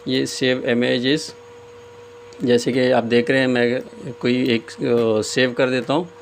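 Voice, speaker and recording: A man giving a casual step-by-step screen tutorial as host, often pausing hesitantly mid-sentence. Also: medium pitch, little pitch movement. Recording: clear background noise, room ambience.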